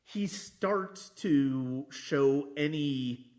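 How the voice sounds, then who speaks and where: Male podcast host, slightly high voice, unhurried and halting, thinking through films aloud in casual conversation. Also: a little mellow. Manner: halting, circling back to rephrase. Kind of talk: casual film discussion.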